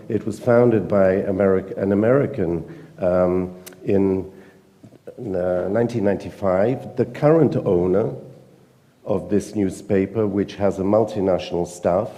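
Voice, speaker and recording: A man with a British accent, speaking earnestly and emphatically at a conference panel, pausing often with fillers. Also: on the low side, warm tone, in a large hall. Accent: British English. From Britain. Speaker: panel speaker, newspaper editor. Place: conference hall.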